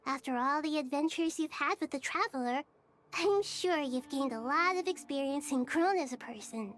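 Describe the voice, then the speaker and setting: A woman playing a gentle, wise young girl deity in a video game, speaking in measured, polite, articulate phrases. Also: high-pitched, expressive. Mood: gentle, reflective. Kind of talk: video game story acting. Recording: music behind the dialogue.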